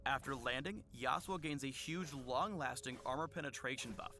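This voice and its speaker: A man reading a scripted instructional guide for a video game in a measured, polished explainer style. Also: medium pitch, bright, forceful tone. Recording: music behind the voice. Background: music.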